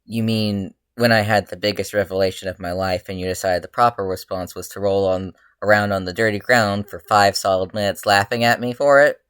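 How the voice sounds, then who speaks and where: A worn-out, middle-aged male hero, voiced by a story reader, grumbles in a deadpan. The delivery is flat and low, with a dry, close sound. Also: fairly quick, few pauses, clean tone, dry recording. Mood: flat, exasperated.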